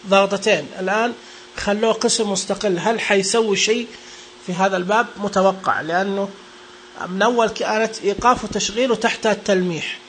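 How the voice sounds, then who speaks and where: Quick, high-voiced man. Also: fairly bright tone, a little hiss or hum. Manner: chatty and joking, repeats what the screen reader says. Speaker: tech reviewer demonstrating phone accessibility settings. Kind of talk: casual spoken walkthrough.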